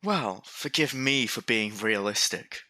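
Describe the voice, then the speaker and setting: A man playing a grim, blunt young Victorian son, earnest and forceful, in a period audio drama. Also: fairly low pitch, animated, measured pace, full character voice. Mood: grim, insistent.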